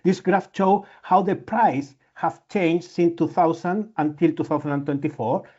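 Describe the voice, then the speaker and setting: A man's voice: on the high side, unhurried, darkish tone. Man. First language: Spanish. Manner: earnest, frequent restarts and repeated words. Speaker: medical imaging researcher and physician giving a seminar. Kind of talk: lecture with slides. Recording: dull lo-fi audio.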